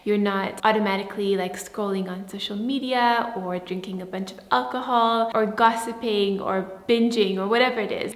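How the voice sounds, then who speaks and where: A young woman from Sweden, a native Swedish speaker, talking in a soft, earnest way and often saying "like" while she answers viewer questions. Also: medium pitch. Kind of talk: casual Q&A to camera. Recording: echoey room with long reverb.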